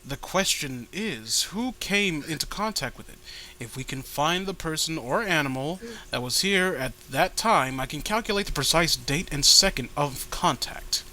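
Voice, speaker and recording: A man reading out an earnest psychic searcher from a fan comic in a measured, solemn, expository way. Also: a little high, animated, bright, forceful tone, a little hiss or hum, low-quality recording. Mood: solemn, searching. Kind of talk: dramatic reading of comic dialogue.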